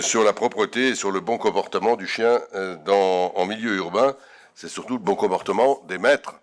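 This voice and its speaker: A man chairing a council meeting, making informal announcements in a halting way with repeated words. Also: fairly low pitch, fairly bright tone. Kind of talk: informal closing remarks and announcements.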